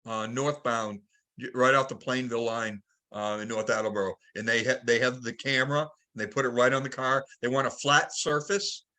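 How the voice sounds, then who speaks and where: Male call host, very bright, forward voice, casual and halting, chairs a group discussion. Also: mid-range voice, steady tone. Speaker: host of a club tech conference call.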